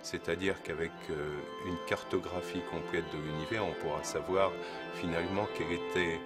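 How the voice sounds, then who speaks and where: Very deep-voiced man explaining science. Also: fairly even intonation, normal speed, over loud music. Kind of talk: educational documentary explanation, unscripted.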